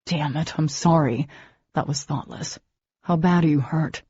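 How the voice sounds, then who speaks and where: A woman voicing a gruff, protective young man who talks in a blunt, sardonic way. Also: low-pitched, a little slow, a little mellow, dry recording, dull audio, exaggerated voice.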